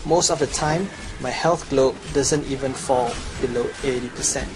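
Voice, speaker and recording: A man's voice: medium pitch, normal speed. A young adult man giving a scripted promotional voice-over as a gamer presenting his guide. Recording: clearly noisy home recording.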